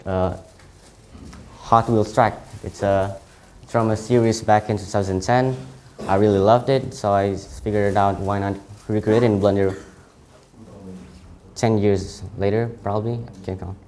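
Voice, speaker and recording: Halting young Indonesian man, mellow voice. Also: lowish voice, fairly even intonation, slight background noise. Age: late teens. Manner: halting, frequent self-corrections. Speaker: student presenting his CV. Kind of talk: informal presentation.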